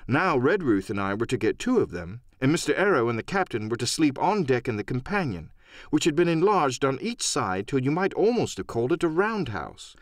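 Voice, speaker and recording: A man's voice: medium pitch, sing-song, brisk, dry recording, studio quality. A man reading scripted adventure-story narration as an audiobook narrator.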